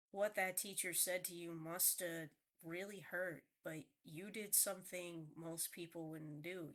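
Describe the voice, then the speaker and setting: An adult woman speaks in a casual, heartfelt monologue, her voice a touch low and nasal from a recent cold. She rambles earnestly, circling back and restarting her sentences as she goes. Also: a bit bright. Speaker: vlogger.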